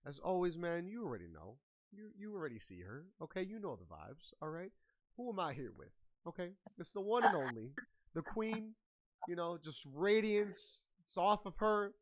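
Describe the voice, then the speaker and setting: A man's voice: sits high, big pitch swings, moderate pace, low-quality recording. A man hosting a casual podcast chat in a hyped, slangy, playful way. He sounds a bit nasal and congested while getting over a sinus infection. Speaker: podcast host.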